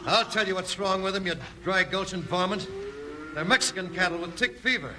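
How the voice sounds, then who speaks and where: A man's voice: high-pitched, a little fast, over music, close to an everyday voice. A man playing a hot-tempered young rancher in a 1950s radio western, with a folksy, defiant drawl. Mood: angry, defiant. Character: hot-tempered young male rancher avenging his father. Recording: noisy vintage radio recording.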